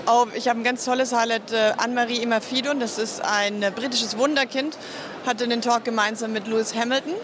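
A woman's voice: on the high side, fairly even intonation, fairly quick, noisy background. Upbeat woman answering interview questions. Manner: upbeat and enthusiastic, with frequent hesitation fillers. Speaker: marketing manager interviewee. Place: busy indoor convention venue. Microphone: reporter's handheld microphone.

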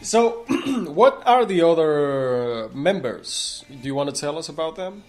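A man hosting a music interview show, speaking effusively with halting phrasing. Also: on the high side, expressive, a little mellow. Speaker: radio talk show host. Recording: music playing behind the voice. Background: music with guitar.

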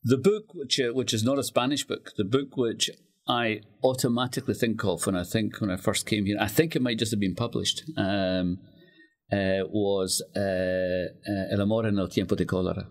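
Low-voiced older Scottish man. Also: fairly even intonation, normal speed. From Scotland. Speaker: interview guest, writer. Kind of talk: casual conversational reminiscence.

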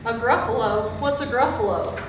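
A woman's voice: fairly high pitch, normal speed, close to an everyday voice. A woman voicing a sly but easily frightened snake in a storybook read aloud. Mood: inviting, curious. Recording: very echoey room, distant mic, faint noise.

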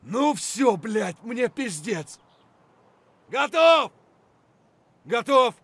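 An actor playing an aggressive, foul-mouthed Russian soldier barking urgent, coarse shouts in a military shooter game. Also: male voice, very high-pitched, big pitch swings, drawn-out words, husky, heavily acted. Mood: wounded, agitated.

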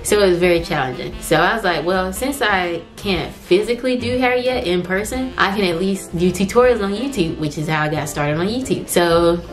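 A young woman talks straight to a camera set up in her living room, chatty and animated, slipping into the voices of people she quotes. Her voice sits on the low side, and faint music plays quietly behind her. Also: normal speed, few pauses, rough recording. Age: young adult. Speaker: hair vlogger. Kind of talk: casual chat to camera. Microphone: camera on tripod at medium distance.

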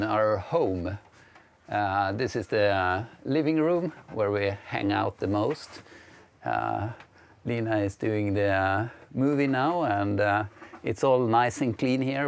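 Slow, gravelly Swedish man on a boat tour. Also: fairly low pitch. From Sweden. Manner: hesitant, many "uh" fillers, friendly. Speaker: sailing vlogger giving a boat tour. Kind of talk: casual guided tour. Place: wooden cabin of a sailboat, indoors. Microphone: clip-on lapel mic.

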